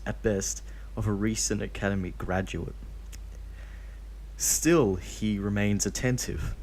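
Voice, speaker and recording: Man, story reader, low voice, mellow tone, steady plain reading, dry asides. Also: slight background noise, poor audio. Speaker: reader of fan fiction.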